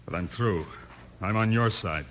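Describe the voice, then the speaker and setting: A man's voice: fairly low pitch, measured pace, a bit bright. An actor playing an earnest, repentant townsman in a 1950s radio western, speaking urgently. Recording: old 1950s radio recording, faint noise.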